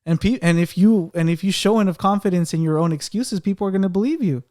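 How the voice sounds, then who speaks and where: A man's voice: high-pitched, quick pace, darkish tone. A man hosting a podcast in casual chat, with a slight stutter, talking animatedly and acting out what other people say. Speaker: podcast host.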